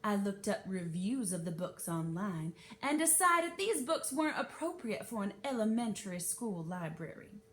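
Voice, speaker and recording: A woman's voice: very animated, moderate pace, few pauses, a bit bright. A woman voicing a prim, sweetly smug, insistent mother in a children's storybook reading, speaking emphatically. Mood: self-righteous. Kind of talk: children's novel read-aloud. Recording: noisy with low rumble.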